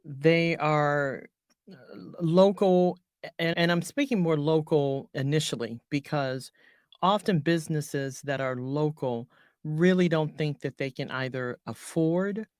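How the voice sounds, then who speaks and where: A woman voiceover artist talking through her business in an interview, halting often and correcting herself mid-sentence. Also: low voice, a little mellow, no room sound, studio quality. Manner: halting, many restarts and self-corrections. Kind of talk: conversational business coaching interview.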